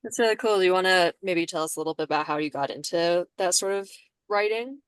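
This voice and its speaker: A woman's voice: on the low side, fast talker, clean tone, no room sound. A young woman, a student co-hosting a podcast, speaking casually. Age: young adult. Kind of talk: casual introduction and chat.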